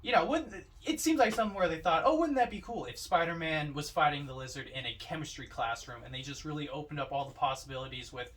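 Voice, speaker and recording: A man with a slightly high voice speaks as a podcast co-host in casual film discussion. He sounds a little distant from the microphone and talks conversationally, often leaning on "you know". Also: fast talker, few pauses, a bit bright. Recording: distant from the mic.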